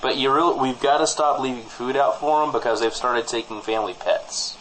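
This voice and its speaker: A man hosting a comedy show, trading improvised mock news banter in a deadpan manner. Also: medium pitch, fairly quick, a little hiss or hum, poor audio.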